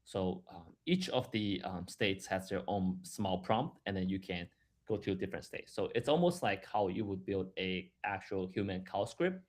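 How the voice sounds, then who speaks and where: A man giving a live, explanatory software demo in a halting way, repeating words and restarting as he goes. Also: sits low, expressive. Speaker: software product presenter.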